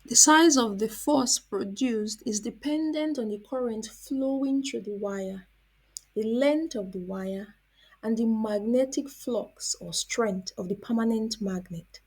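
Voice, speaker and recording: A woman presenting a scripted educational narration as a science teacher, deliberate and explanatory, posing questions and then answering them. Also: on the high side, husky, low-quality recording.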